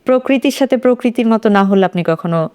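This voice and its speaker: Clear-voiced woman on a panel. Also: on the high side, animated, brisk, a little mellow. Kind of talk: thoughtful literary discussion.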